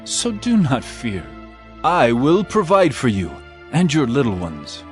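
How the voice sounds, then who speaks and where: A man's voice: mid-range voice, wildly swooping pitch, unhurried, soft background music. A man playing a solemn, forgiving biblical governor in an earnest, theatrical scripture drama. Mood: reassuring, earnest.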